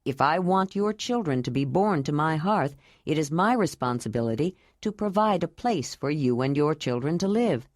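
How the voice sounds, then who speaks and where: A woman voicing an earnest, loving young man in an audiobook, lowering her voice to speak calmly. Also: normal speed, hardly any pauses, no room sound, studio quality. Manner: low, calm and earnest.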